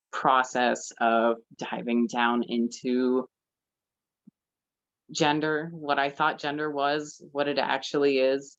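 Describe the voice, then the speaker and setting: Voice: medium pitch, no room sound. Teen speaker, chatty earnest storytelling, dry muffled recording. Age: teens. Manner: chatty, earnest, lots of fillers. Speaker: panelist. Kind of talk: casual personal storytelling. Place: library event room.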